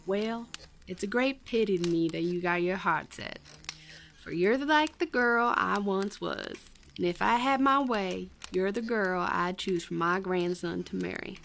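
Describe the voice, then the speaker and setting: A woman plays an old American country granny, kindly and shrewd, in a radio western. She draws her words out slowly with a creaky crackle and fond, folksy manner, over faint noise. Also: mid-range voice, fairly bright tone. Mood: wistful, coaxing. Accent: American Southern or Western. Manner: slow, folksy, endearments like child and darling. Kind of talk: folksy radio drama acting.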